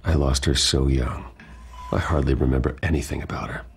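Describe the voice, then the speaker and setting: A man's voice: very deep voice, steady tone, brisk, vocal fry. A man playing a grave, guarded hero in a video game, speaking in a terse, controlled manner. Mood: subdued, measured. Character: grave, guarded male vigilante hero in his prime.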